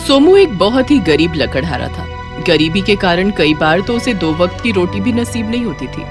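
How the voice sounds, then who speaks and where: A woman reads a scripted story for children. Her mid-pitched voice moves in an animated, expressive storytelling way. Faint music plays underneath. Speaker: narrator.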